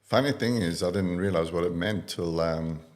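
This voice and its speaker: A man from Iraq in his thirties, a guest on a podcast, telling his life story in a relaxed way with many fillers. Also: sits low, moderate pace. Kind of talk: casual interview talk.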